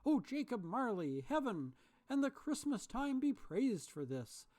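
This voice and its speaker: A reader voicing a raised, excited old miser. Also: mid-range voice, moderate pace, pitched above the performer's own voice, natural voice. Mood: giddy. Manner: pleading, then giddy and exclaiming. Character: old miser, repentant and overjoyed.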